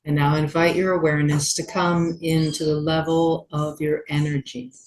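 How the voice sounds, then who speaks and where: A woman yoga teacher leading a guided meditation in a gentle, unhurried manner with long pauses. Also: low-pitched, level tone, poor audio.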